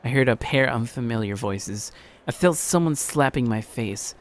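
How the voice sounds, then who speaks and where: A man's voice: animated, normal speed. A man hosting a let's play, narrating a visual novel aloud theatrically and often stumbling over the text. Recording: music behind, low-quality home recording.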